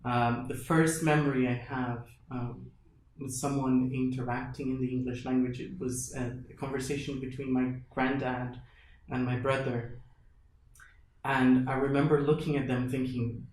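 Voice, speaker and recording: Man, animated. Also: mid-range voice, normal speed, a little hiss or hum. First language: Portuguese. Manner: animated, acts out his own past thoughts. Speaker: guest. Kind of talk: storytelling in a casual interview. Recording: echoey room, faint music behind.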